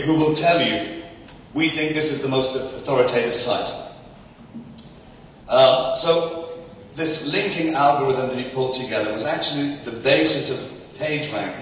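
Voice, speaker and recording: A man presenting to a conference audience, projecting loudly and filling pauses with ums. He sounds distant from the mic, and his voice rings through a large hall with a long echo. A faint hiss sits underneath. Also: mid-range voice. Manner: loud, energetic presenter with fillers. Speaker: conference speaker. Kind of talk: conference talk on search. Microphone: distant, mic trouble. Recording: echoey hall from far off.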